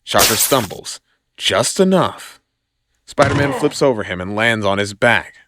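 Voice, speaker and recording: A man's voice: lowish voice, lively intonation, a little slow, fairly bright tone, no echo, studio quality. A man narrating scripted action in a dramatic audio drama style.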